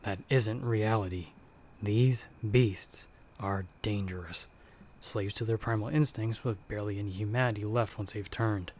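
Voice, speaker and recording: A man playing a stern, sardonic monster hunter who guides the listener in hushed, urgent tones in an ASMR audio roleplay. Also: on the low side. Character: gruff, sardonic male monster hunter, a disembodied guiding voice.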